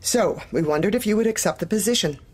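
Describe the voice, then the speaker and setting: An actress playing a formal, coolly polite and ruthless corporate manager in a satirical audio drama. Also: female voice, mid-range voice, lively intonation, fast talker, darkish tone, breathy edge. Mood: formal, measured. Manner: clipped, formal, coolly officious.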